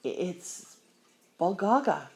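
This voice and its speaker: A woman voicing a friendly, gentle schoolboy with an earnest, casual way of talking in a children's storybook. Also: lowish voice, sing-song, takes it slowly, gravelly, full character voice. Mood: shy, explaining. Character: friendly, gentle new schoolboy, fair-minded.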